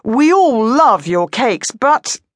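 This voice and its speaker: A woman voicing a kindly middle-aged farmer father in a storybook, gentle and hesitant, worried but trying to sound positive. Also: fairly high pitch, sing-song, takes it slowly, no echo, clean studio sound, pitched above the performer's own voice. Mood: gently tactful.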